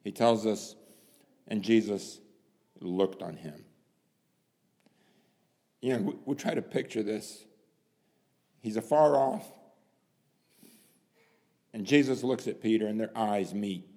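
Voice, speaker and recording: A man preaching a sermon, dramatic, swinging from hushed lines to loud emphasis and asking rhetorical questions. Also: lowish voice, slow pace, long pauses. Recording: long hall reverb.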